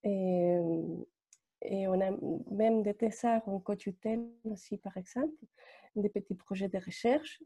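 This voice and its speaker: Woman lecturer from Chile, husky and dark, dry sound, hesitant. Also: medium pitch, dry recording. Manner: halting, many fillers and restarts. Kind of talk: short testimonial.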